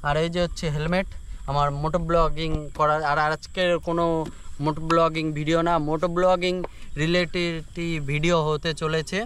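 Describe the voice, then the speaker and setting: A man's voice: fairly high pitch, level tone, moderate pace, fairly bright tone. A young man chats casually to camera in an informal, buddy-like way, as in an unboxing vlog. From rural India. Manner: informal, buddy-like address, repeats filler phrases. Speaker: moto vlogger. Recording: noisy outdoor ambience.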